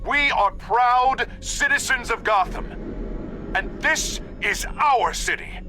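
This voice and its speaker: A man's voice: extremely high pitch, lively intonation, music in the background, heavily acted. An actor playing a newly elected mayor in a video game, giving a rousing, emphatic political speech to his city. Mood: defiant, rousing. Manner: emphatic, deliberate oratory.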